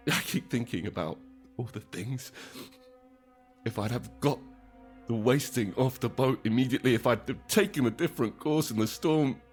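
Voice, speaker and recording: An actor playing a guilt-ridden survivor in an audio drama, speaking in halting, intense first person. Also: male voice, a little high. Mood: anguished, self-blaming. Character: grief-stricken guilt-ridden male survivor, narrating his ordeal.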